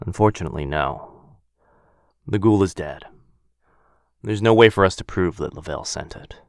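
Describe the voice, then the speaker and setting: An actor playing a protective, brooding vampire lord in an intimate ASMR roleplay, speaking in a hushed, measured, halting way close to the mic. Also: male voice, dry recording. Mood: somber, apologetic. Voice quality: low, gravelly. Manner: hushed, measured, halting pauses, often dropping to breathy murmur. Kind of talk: boyfriend ASMR fantasy roleplay, intimate one-sided dialogue. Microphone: close microphone, dry.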